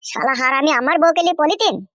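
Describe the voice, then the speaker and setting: A man's voice: very high voice, fast, pushed and bright, no room sound. A man voicing a hot-headed, gullible village husband in a comic cartoon, blustering and whining in a rural West Bengal accent. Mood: furious, blustering. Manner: blustering, whining, mispronounces words.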